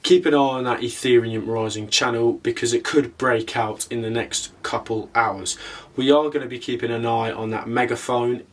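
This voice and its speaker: A man's voice: mid-range voice, steady tone, normal speed. A man presenting an explanatory market analysis commentary, talking through it step by step as the host. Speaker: host of a crypto trading video.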